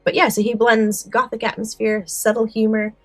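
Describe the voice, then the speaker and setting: A woman's voice: fairly high pitch, level tone, normal speed, dry recording, faint music behind. A woman co-hosting a podcast, explaining a favourite subject with enthusiasm, moving between prepared summary and casual discussion. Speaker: podcast co-host.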